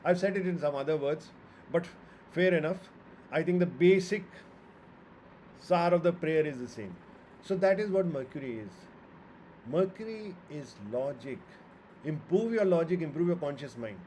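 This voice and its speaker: A man with an Indian accent speaks in a slightly high voice, lecturing informally like an astrologer. He is emphatic and opinionated, often asking questions and answering them himself. Faint noise sits behind him. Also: animated, moderate pace, long pauses, darkish tone. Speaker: astrologer and author.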